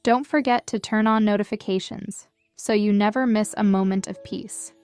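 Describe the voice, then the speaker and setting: A woman leads a scripted guided meditation with a smooth, clear voice at a medium pitch. Her delivery is measured and soothing, giving gentle instructions. The sound is dry and close, with music playing behind her. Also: fairly even intonation, dry recording. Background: background music.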